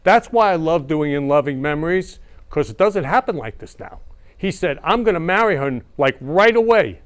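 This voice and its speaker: A man's voice: a little high, lively intonation, moderate pace. A male host interviewing a guest, gushing and lavish with praise, often repeating his compliments. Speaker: show host. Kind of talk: effusive interview chat.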